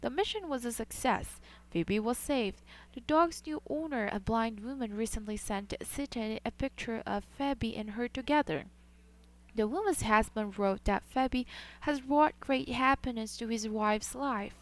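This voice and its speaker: A woman with a somewhat high voice reads a scripted news feature. She keeps a measured, even news delivery throughout. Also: rough recording. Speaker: news reporter.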